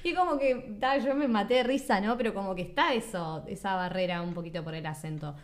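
A woman's voice: medium pitch, brisk. A woman from Uruguay with a Uruguayan accent, hosting a casual conversational interview. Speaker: podcast host.

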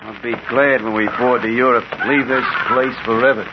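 A man's voice: mid-range voice, fairly even intonation, normal speed, natural voice. Through heavy noise on a muffled old radio recording comes an actor playing a New York tough guy. The man is a complaining, uneasy criminal sidekick with a mid-range voice, played in old radio drama style. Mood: grumbling, uneasy.

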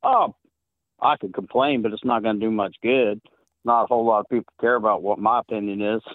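A man's voice: fairly low pitch, a little fast, no room sound. A middle-aged man with a Southern accent, calling in to a show and telling stories in a chatty way, laughing often. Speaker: caller. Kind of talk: call-in show chat. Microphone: phone. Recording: tinny phone line.

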